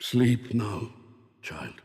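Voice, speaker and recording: An aged, mysterious mind-reader in an audio drama, speaking in a low voice with a foreign accent. He talks in slow, formal, measured phrases. His voice hangs in a long reverb, as if it sounds inside a head. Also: sing-song, a little mellow, exaggerated voice. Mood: gentle. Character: old mysterious telepathic man, calm and sorrowful.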